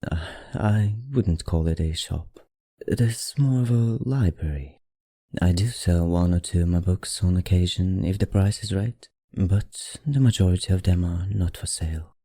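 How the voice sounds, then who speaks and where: ASMR roleplay: male actor as a centuries-old vampire librarian, husky and close-miked dry, old-fashioned courtesy, softly teasing, affected accent. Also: deep voice, moderate pace, no echo. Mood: gracious, mysterious. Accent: put-on, not his own. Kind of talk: ASMR roleplay, addressing the listener.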